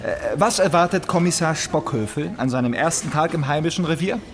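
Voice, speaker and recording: An actor playing a puzzled, hesitant newly arrived police inspector in a German radio crime drama. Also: male voice, on the high side, expressive, fairly quick. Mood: tentative. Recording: loud music behind the voice.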